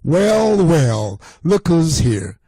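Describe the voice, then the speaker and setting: A man acts out a friendly older storybook shopkeeper. He is jovial and welcoming, drawing out his words, with a voice raised a little above the narration and a mellow tone. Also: a little high, sing-song, drawn-out words, breathy edge, dry recording, pitched above the performer's own voice, heavily acted. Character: friendly older male corner-store owner, welcoming.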